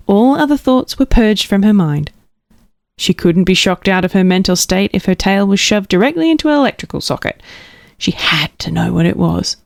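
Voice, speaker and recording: A woman's voice: lowish voice, creaky, a little mellow, no room sound, studio quality. A woman reading a children's storybook aloud with playful expression, dropping into whispers at tense moments. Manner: playful, drops to whispers for suspense. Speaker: storybook reader.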